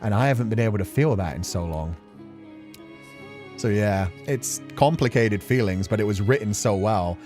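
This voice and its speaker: A man's voice: lowish voice, moderate pace, a little mellow. A man giving casual, reflective commentary as a streamer, talking in an earnest, emotional, rambling way. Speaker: streamer hosting a game playthrough. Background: music.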